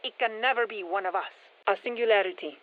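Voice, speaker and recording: A woman playing a brisk, tech-minded science operative in a video game, giving matter-of-fact, wry mission instructions over a radio filter. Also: medium pitch, narrow, tinny audio. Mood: brisk, focused. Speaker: mission handler. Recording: thin band-limited radio comms sound.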